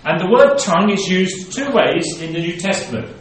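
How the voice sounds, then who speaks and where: A male preacher reads scripture and then expounds on it in a fairly high voice. He is recorded from some distance in a large hall, and his words ring out with heavy echo. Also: big pitch swings, moderate pace. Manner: expository Bible teaching, reading scripture aloud then explaining. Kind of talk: sermon. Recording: very echoey room, voice from a distance.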